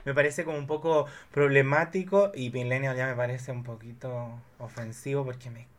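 A man, chatting casually in an expressive, campy way and telling digressive stories about films. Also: mid-range voice, moderate pace. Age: 30s. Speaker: podcast guest or co-host discussing films. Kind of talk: casual conversational chat.

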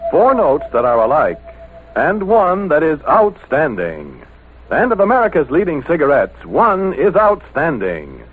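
Animated, husky male radio announcer. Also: a little high, a little slow. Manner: polished, emphatic salesman delivery. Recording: tinny old radio broadcast.

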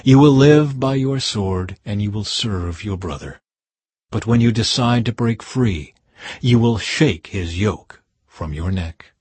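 A man voicing an old blind father who gives a solemn, slow blessing, with a husky voice. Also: on the low side, lively intonation, darkish tone, no echo, full character voice.

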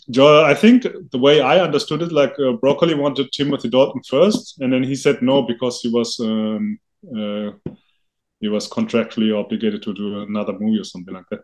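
A man co-hosting a podcast, chatting casually and haltingly with many fillers and restarts. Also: medium pitch, rough recording. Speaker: podcast co-host. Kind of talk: casual chat.

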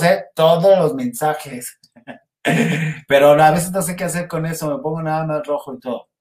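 A man with a Mexican accent hosting a casual livestream, chatting with viewers in a rambling, informal way. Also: a little high. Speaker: livestream host. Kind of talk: casual live chat with viewers. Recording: roomy, echoey sound.

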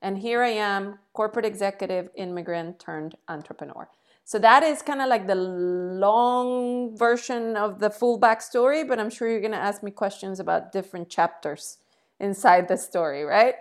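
A woman, a guest on an interview, telling her story in a lively, frank and self-deprecating way. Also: medium pitch, normal speed. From Colombia. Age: 40s. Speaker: guest, entrepreneur.

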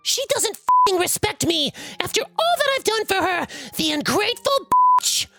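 A woman playing an enraged, spiteful mother in an acted storytime, scolding her child in a shrill, drawn-out way. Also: sing-song, music in the background, heavily acted. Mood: bitter, accusing.

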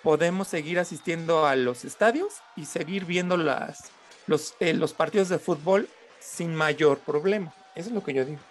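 A man's voice: on the high side, normal speed, soft background music. A Mexican man arguing his point in a casual panel discussion, often feeling his way through sentences.

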